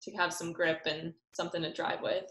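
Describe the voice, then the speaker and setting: A woman's voice: lowish voice, level tone, a little fast, fairly bright tone. Young female presenter, casual and chatty, echoey video-call sound. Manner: casual, many fillers. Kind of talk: online sailing seminar. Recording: roomy echo on call audio.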